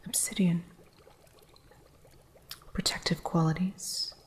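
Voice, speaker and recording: A woman voicing an eccentric, possessive witch who runs a curio shop, speaking in soft, unhurried ASMR whispers close to the microphone with a stern, territorial edge. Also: on the low side, fairly even intonation. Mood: hushed whispering. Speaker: ASMR roleplay artist.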